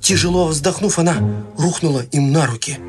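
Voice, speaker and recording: Young guy playing an awkward morbid kid in a radio play, halting, music clearly behind. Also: on the high side, close to an everyday voice. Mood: deadpan. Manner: hesitant, halting, dry storytelling. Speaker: Harold, lead role in radio play.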